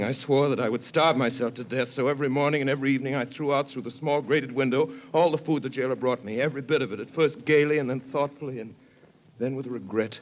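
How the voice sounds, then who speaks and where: A man narrating an old radio drama in the first person, grave and measured. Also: medium pitch. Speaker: narrator playing the hero. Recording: dull old radio recording with faint hiss.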